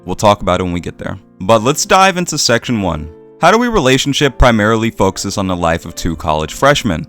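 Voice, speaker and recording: A man's voice: lowish voice, fairly bright tone. A man hosting and narrating a scripted video essay.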